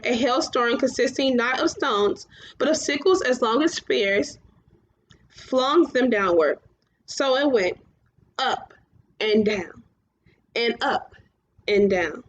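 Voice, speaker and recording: A woman with a high voice reads a fantasy storybook aloud. She is a little halting and repeats phrases to correct herself. The recording is slightly muffled and lo-fi. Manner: halting, often stumbles and rereads phrases. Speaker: storybook reader. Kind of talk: read-aloud children's fantasy narration.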